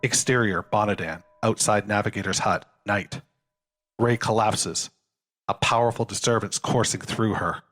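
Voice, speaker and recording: A man's voice: lowish voice, steady tone, moderate pace, fairly bright tone. A man giving scripted narration of a screenplay, reading scene headings and action as a narrator.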